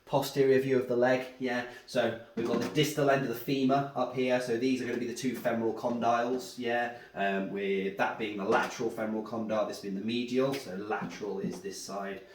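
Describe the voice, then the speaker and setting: A man's voice: mid-range voice, fairly even intonation, fairly quick, few pauses, a little hiss or hum. Stuffy-nosed man teaching anatomy. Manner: keeps checking in with a questioning "yeah?". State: stuffy from a head cold. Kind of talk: whiteboard anatomy lesson. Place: room with a drawing board. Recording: echoey room.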